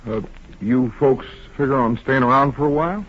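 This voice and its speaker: A man's voice: medium pitch, normal speed, natural voice. A man acting a modest, polite small-town bank teller and husband, folksy, with an American accent, in old radio drama style. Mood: hospitable. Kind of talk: 1940s radio western acting. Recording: muffled old radio recording, faint noise.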